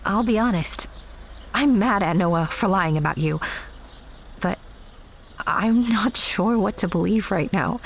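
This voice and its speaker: A woman's voice: medium pitch, unhurried, long pauses, slight background noise, lightly acted. An actress playing a sarcastic, guarded young woman who calls herself a theater girl, in an audio drama. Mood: wry. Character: wry young theater girl, new girlfriend.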